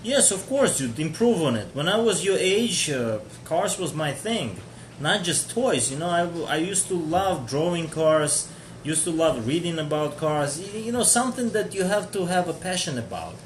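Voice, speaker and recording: A man's voice: fairly high pitch, very animated, normal speed. A man from Georgia hosting a casual live stream chat, chatty and joking as he answers viewers. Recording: echoey room with faint noise.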